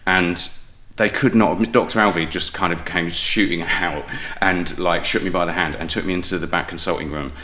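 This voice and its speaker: A man's voice: deep voice, steady tone, normal speed, few pauses, sharp tone, slight background noise. A man with a British accent talking casually and enthusiastically as an interview guest, often breaking off and restarting his phrases. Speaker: guest playwright and audio drama maker.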